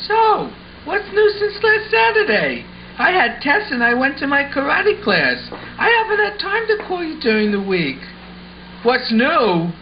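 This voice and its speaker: A man's voice: very high-pitched, huge pitch swings, a little slow, heavily acted. A man playing a skeptical, questioning young boy, a teasing best buddy in an amateur puppet show. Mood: curious. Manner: incredulous, questioning. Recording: muffled audio with faint background noise.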